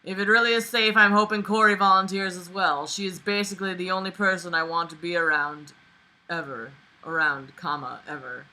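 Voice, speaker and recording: A woman's voice: fairly low pitch, fairly even intonation, fairly quick, pushed and bright, low-quality recording. A young woman reading a book aloud casually with sarcastic, exasperated, sweary running commentary. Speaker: online book reader and commentator.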